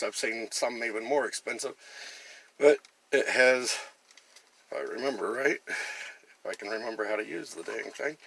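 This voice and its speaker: A man's voice: medium pitch, a bit bright, slight background noise. A man talking casually through a product demonstration in a plain-spoken, folksy and chatty way. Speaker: gear reviewer and camper.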